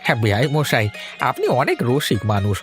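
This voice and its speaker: A man's voice: medium pitch, huge pitch swings, brisk, a bit bright, soft background music. A man playing a deferential village father of a bride in an audio drama, speaking politely. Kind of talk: Bengali audio story drama acting.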